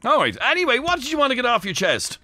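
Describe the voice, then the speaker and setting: Bright, bantering man hosting radio. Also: very high-pitched, huge pitch swings, fast, no room sound, soft background music. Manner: bantering, wry. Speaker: radio host. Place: radio studio.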